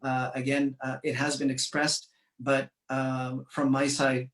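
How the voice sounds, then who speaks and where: A man from Canada giving an earnest, measured commemorative speech, pausing often with fillers. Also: medium pitch, monotone, a bit bright, echoey room, far from the mic. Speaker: community speaker.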